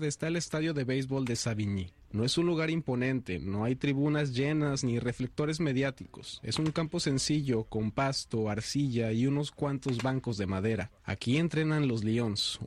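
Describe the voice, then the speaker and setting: Guy reading a news feature, steady and calm, mid-pitched. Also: slight background noise. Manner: measured and even. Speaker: reporter. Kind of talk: scripted news narration.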